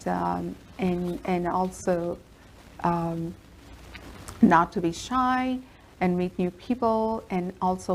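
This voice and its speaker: A woman lawyer, a native Telugu speaker, answering interview questions in an earnest, steady storytelling manner. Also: fairly low pitch, slight background noise, rough recording. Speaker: immigration lawyer.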